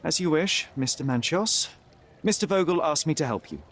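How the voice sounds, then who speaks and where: A young sharp detective, formal and precise, lively pitch. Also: male voice, moderate pace, slight background noise. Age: young adult.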